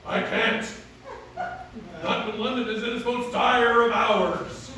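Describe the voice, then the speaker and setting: A brooding masked avenger who declaims in grand mock-Shakespearean phrases with a rough, beastly growl. The voice is forceful and rings through a very echoey space, away from the mic. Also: male voice, very high voice, fairly even intonation, takes it slowly, exaggerated voice. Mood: grandly resolute. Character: brooding masked male vigilante hero, grandly strong and scary. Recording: roomy echo, distant mic, faint noise.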